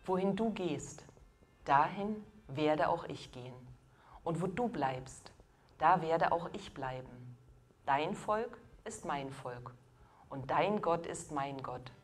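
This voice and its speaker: A woman in her fifties delivering a scripted sermon in measured, deliberate phrases with pauses. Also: low-pitched, expressive, soft background music. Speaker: preacher.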